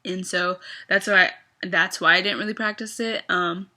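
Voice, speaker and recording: A young adult woman talks straight to the camera in a confessional vlog. Her voice is bright and clear. She rambles and hesitates, with plenty of fillers as she works through her thoughts. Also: fairly low pitch, fairly even intonation, normal speed. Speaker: vlogger.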